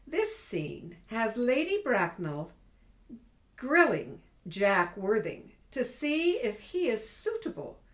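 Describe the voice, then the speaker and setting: Woman, stage presenter, scripted introduction, slow delivery, lively intonation. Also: fairly high pitch.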